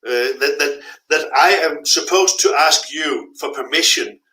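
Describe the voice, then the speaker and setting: A middle-aged man with a bright, forward voice speaks as a guest in a live interview, giving blunt, frank warnings and pressing his points home. The sound rings off the walls of an echoey room. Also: a little high, a little slow. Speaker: guest, freelance translator.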